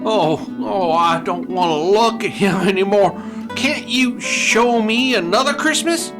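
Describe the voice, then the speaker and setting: An actor playing an anxious, haunted old rancher in a Western radio play, stammering and halting. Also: medium pitch, over music, close to an everyday voice. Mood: distressed.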